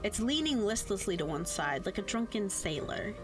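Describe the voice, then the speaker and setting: A woman reading as a visual novel's narrator in a measured, literary, wry and gently ominous storytelling manner. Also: mid-range voice, big pitch swings, close to an everyday voice. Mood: wry, foreboding. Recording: music under voice.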